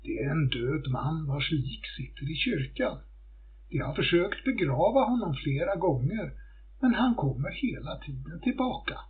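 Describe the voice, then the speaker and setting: A man's voice: on the high side, big pitch swings, slightly rough. A man voicing a bold, cheeky servant girl in a folktale, pert and confident. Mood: matter-of-fact. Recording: muffled phone-like audio.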